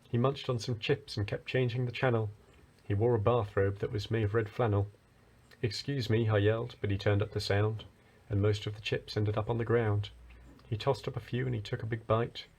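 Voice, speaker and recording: A man narrating a rhyming children's story as a storyteller, with a husky voice. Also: on the low side, darkish tone.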